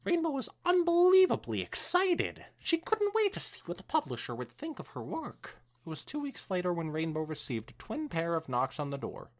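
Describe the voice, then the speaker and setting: Expressive, low-voiced woman reading aloud. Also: normal speed, hardly any pauses. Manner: expressive, swooping storytelling delivery. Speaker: podcast co-host reading fan fiction aloud. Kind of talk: animated story reading with casual banter.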